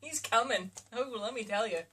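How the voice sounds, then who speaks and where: A woman's voice: on the high side, normal speed, fairly bright tone. A woman co-host in casual comedic banter, teasing and wryly echoing phrases from a book being read. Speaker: co-host commenting on a novel reading. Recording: clearly noisy amateur room recording.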